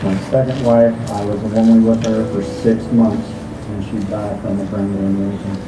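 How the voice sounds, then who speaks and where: An older man telling his own story slowly and plainly at an open mic. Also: on the low side, flat delivery. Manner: slow, plain-spoken. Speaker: storyslam speaker. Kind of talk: personal story. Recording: echoey room with loud noise.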